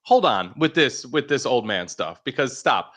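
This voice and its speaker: A man's voice: medium pitch, big pitch swings, normal speed, sharp tone. A man on a market show's analyst team, cutting in bluntly to push back on the others. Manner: blunt, sardonic. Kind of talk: casual banter.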